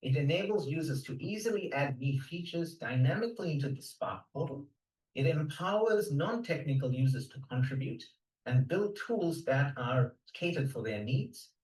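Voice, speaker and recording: Man presenting a software project, roomy. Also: fairly high pitch, moderate pace, distant mic. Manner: technical, steady with some fillers and restarts. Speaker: codeathon team presenter. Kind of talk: recorded project pitch.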